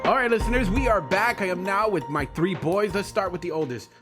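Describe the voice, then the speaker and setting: A man in his thirties hosting a casual family chat with children, animated and repeating answers back. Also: sits high, brisk, over music. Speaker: podcast host, father interviewing his young sons.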